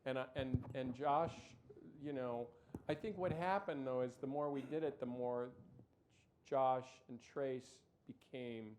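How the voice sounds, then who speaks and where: Stammering, animated man on a panel. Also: mid-range voice, unhurried, background noise. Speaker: show creator, panel guest. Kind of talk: panel discussion.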